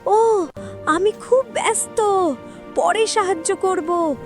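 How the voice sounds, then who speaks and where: A woman voicing a frightened old priest making hurried excuses in a children's cartoon story. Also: extremely high pitch, soft background music, pitched above the performer's own voice, full character voice. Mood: nervous, evasive.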